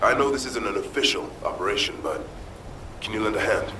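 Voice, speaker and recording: An actor playing an earnest, dutiful male support officer in a video game, speaking in a measured, polite way. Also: lowish voice, reverberant, background noise, natural voice.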